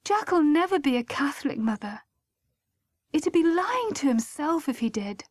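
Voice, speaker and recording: A woman's voice: high voice, very animated, measured pace, voice pushed up. A woman voicing an anxious young newlywed daughter in an audiobook, earnest and pleading.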